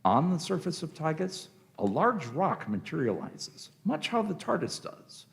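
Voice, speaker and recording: Creaky, animated male narrator. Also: fairly high pitch, a little slow. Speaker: narrator of a radio play. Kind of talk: scripted narration.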